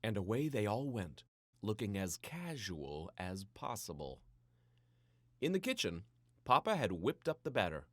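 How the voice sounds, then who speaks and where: A man reading a scripted children's story in a playful, theatrical way with dramatic pauses. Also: medium pitch, no echo. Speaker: storybook narrator.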